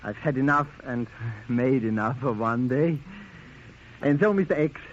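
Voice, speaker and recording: A man's voice: mid-range voice, unhurried, natural voice. An actor playing a sly, greedy henchman, oily and mock-polite, in a 1940s radio drama. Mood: oily, amused. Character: sly, greedy, charming male double-crossing henchman. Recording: dull, hissy old radio recording.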